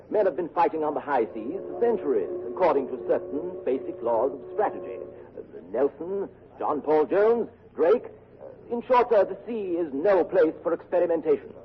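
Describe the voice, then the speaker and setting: Male actor as old-school navy commander, slightly high voice, pompous, clipped, halting, formal, 1940s radio drama, muffled vintage recording. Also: lively intonation, close to an everyday voice. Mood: indignant, resolute. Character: proud, stuffy old-school Northern naval commander, disdainful of novelty. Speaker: senior naval officer being interviewed.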